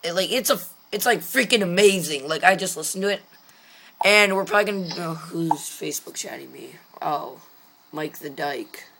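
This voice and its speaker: A teenage boy with a hoarse voice, chatting casually and jokingly into a webcam from his room, wearing a gaming headset. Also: high-pitched, expressive, moderate pace, pushed and bright, a little hiss or hum. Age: teens. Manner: rambling, joking, tired. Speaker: vlogger. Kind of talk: casual chat. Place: small room at home, indoors.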